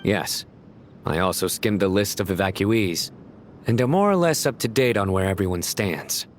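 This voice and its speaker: A man playing a grave, methodical law enforcer in a video game, formal and deliberate in his speech. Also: fairly low pitch, lively intonation, a little hiss or hum, lightly acted. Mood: grave, analytical. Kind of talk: scripted video game voice acting.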